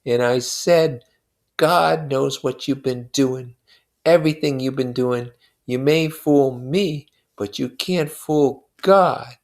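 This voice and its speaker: Man acting a brooding, grief-dazed husband, halting and muttering. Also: mid-range voice, pitched above the performer's own voice. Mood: brooding, righteous. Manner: slow, muttering, halting, emphatic. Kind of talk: dramatized novel reading.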